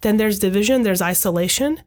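A woman's voice: mid-range voice, a little mellow. Thoughtful woman, Hungarian first language. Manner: thoughtful, measured, frequent "right?" tags. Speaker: podcast guest. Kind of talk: reflective conversational talk.

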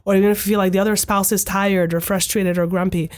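A woman in her thirties whose first language is Hungarian, a podcast guest speaking in a measured, reflective way and often checking in with "right?". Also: mid-range voice, level tone, a little mellow. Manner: thoughtful, measured, frequent "right?" tags. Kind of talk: reflective conversational talk.

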